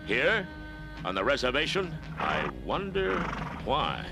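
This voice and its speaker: Cartoon masked cowboy lawman, upright, earnest, heroic, widely swinging intonation, loud music underneath. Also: medium pitch, wildly swooping pitch, takes it slowly, gravelly, exaggerated voice. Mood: thoughtful. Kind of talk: animated series voice acting.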